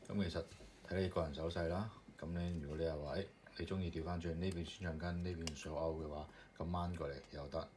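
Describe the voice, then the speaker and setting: Deep-voiced man demonstrating an assembly. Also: fairly even intonation, fast talker. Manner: loose, step-by-step explaining with many fillers. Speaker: maker demonstrating his product. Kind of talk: casual hands-on assembly demonstration. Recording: clear background noise with clicking parts.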